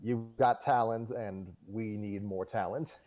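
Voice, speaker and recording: A man hosting a casual live chat in a halting, hesitant way. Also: on the low side, fairly even intonation, warm tone, poor audio. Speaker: host.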